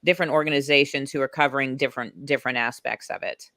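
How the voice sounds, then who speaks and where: A woman's voice: extremely low pitch, fairly even intonation, fast, a bit bright, no room sound. An American woman, a guest on an online talk show, chatting casually and rambling with many restarts. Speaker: guest advocate.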